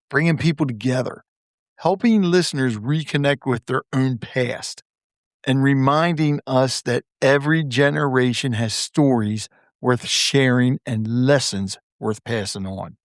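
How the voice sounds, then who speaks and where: A man's voice: mid-range voice, a little slow, no echo. A man hosting a podcast, eager and upbeat with a guest, turning thoughtful as he wraps up. His voice sits in a middle range and is recorded close and dry, with no room sound around it. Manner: eager, enthusiastic, reflective when wrapping up. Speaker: podcast host. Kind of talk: interview and closing monologue.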